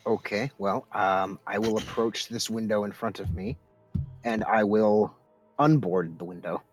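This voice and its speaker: A man's voice: on the low side, unhurried, no echo, faint music behind. A man talking casually as a player in a tabletop roleplaying game.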